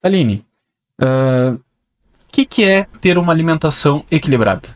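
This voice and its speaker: A man hosting a radio podcast, leading segments briskly and affirming his guest. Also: fairly high pitch, expressive. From not from the local region. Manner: friendly, affirming, brisk segues. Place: radio studio.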